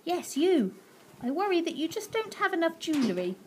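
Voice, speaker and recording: A woman's voice: very high-pitched, sing-song, brisk, slight background noise, pitched above the performer's own voice. A woman voicing a cheeky, polite young boy who teases his aunt.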